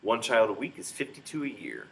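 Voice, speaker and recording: Low-voiced man reading a storybook aloud. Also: huge pitch swings, fairly quick, a bit bright, poor audio. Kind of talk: children's book read-aloud. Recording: clear background noise, room ambience.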